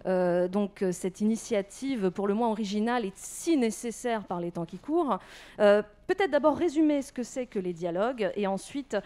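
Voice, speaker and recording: A woman's voice: medium pitch, animated, normal speed, dark tone. A woman moderating a panel discussion, hesitant, with many fillers.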